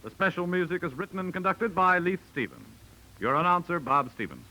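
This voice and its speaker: Vintage network announcer: American man, formal and emphatic, lively pitch, on an old radio recording. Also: a little fast, background noise.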